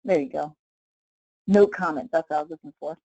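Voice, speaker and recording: A woman leading a casual live software tutorial as a trainer, explaining step by step in an informal way with frequent check-in questions. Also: low voice, brisk, husky, no echo, dull audio.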